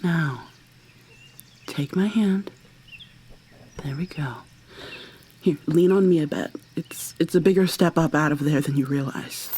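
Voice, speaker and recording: A woman playing a tender, possessive kidnapper in an ASMR roleplay, speaking softly and haltingly, often slipping into a breathy whisper as she coaxes. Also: sits low, a little hiss or hum. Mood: coaxing, tender. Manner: coaxing, gentle, halting. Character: tender, possessive female kidnapper doting on her captive lover.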